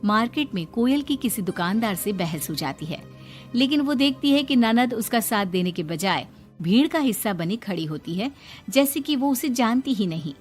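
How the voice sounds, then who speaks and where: A woman's voice: a little high, expressive, moderate pace, faint music behind. A woman reading a scripted moral story as its narrator.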